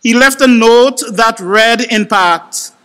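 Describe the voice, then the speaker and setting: A man's voice: extremely high pitch, takes it slowly, a bit bright, smooth. A middle-aged man preaching a sermon at a church podium, impassioned and emphatic. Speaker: pastor. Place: church sanctuary with congregation. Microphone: podium microphone. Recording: long church reverb.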